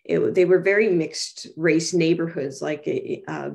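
A woman's voice: low-pitched, a little slow, vocal fry, darkish tone. A middle-aged Canadian woman from Toronto, sharing personal reflections on a video call from a room at home. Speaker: guest speaker. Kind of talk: reflective personal talk in an online panel. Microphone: video call microphone.

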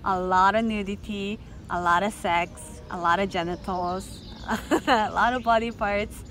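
A woman's voice: mid-range voice, measured pace. A young woman with a Japanese accent, speaking frankly and casually as a filmmaker guest in an interview, using many fillers. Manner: lively, frank, many fillers like "like" and "you know". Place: festival setting. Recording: noisy ambience behind.

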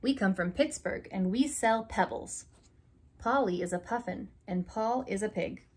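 A young woman reading a children's alphabet picture book aloud, slow and deliberate, stressing each opening letter, in a small room. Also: medium pitch, a little mellow, breathy edge. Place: small room indoors by a curtained window.

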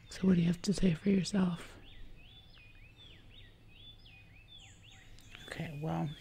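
A woman performs as a haughty, man-eating giantess who rules her jungle, whispering slowly and condescendingly in an ASMR roleplay. Her voice is low and crackles with fry. It is close-miked, with some background noise. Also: dark tone. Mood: stern, grudging. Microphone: close mic in front of camera.

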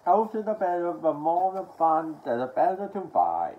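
A young adult man from Australia talking haltingly and with mumbled words in a casual show-and-tell to camera at home. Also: a little high, very animated. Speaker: collector showing his media haul. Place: small furnished room at home, indoors. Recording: dull lo-fi sound with background noise.

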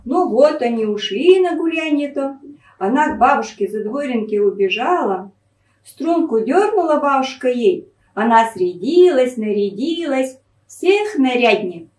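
A woman's voice: high voice, animated, unhurried, darkish tone. A middle-aged woman with a Northern Russian Pinega dialect, reading a folk tale aloud expressively. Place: small library room, indoors. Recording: echoey room, distant microphone.

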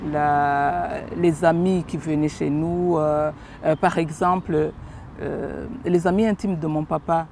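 A middle-aged woman from Rwanda giving a personal testimony in an outdoor interview, speaking hesitantly with frequent pauses and fillers. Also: on the low side, dark tone, a little hiss or hum. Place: park lawn outdoors.

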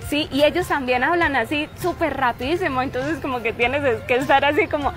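Young woman, Santander Colombian accent, high-pitched, punchy emphatic delivery, outdoors, faint music underneath. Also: brisk. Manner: forceful, emphatic delivery with frequent "¿sí?" tags. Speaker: interviewee. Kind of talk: street interview anecdotes. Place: outdoor park with trees.

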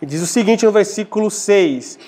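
A young Brazilian man teaching from the Bible in a didactic way, pausing to put questions to his listeners. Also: sits high, lively intonation, warm tone. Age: young adult. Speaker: preacher giving a lecture at a church congress. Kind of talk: Bible teaching sermon with scripture reading. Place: small indoor church hall at a lectern. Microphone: lapel.

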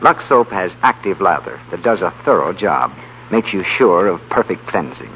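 A man's voice: low-pitched, very animated, moderate pace. An American man working as an announcer, reading a scripted 1930s radio show and its advertising. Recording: muffled 1930s radio broadcast, faint hum.

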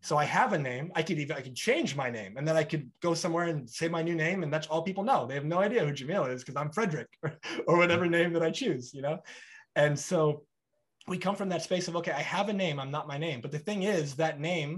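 A man's voice: fairly high pitch, fast. A man in his thirties hosting a podcast over a video call, talking animatedly and acting out little back-and-forth dialogues. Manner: animated, gestures broadly, acts out both sides of dialogues. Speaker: podcast host. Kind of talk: conversational interview. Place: bright home room.

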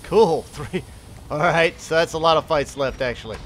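A man's voice: fairly high pitch, normal speed, background noise. A man with a head cold giving casual, chatty commentary while playing a game, cheering his characters on. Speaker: gaming channel host. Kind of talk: casual let's-play commentary. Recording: voice over game music.

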